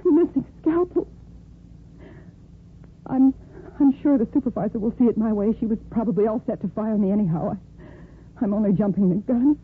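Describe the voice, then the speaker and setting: Woman acting distraught young nurse, halting melodramatic delivery, radio soap, tinny band-limited sound, faint hiss. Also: a little high, natural voice. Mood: bitter, resolute. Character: overwrought, exhausted young surgical nurse wracked with guilt. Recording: muffled, noisy 1950s radio recording.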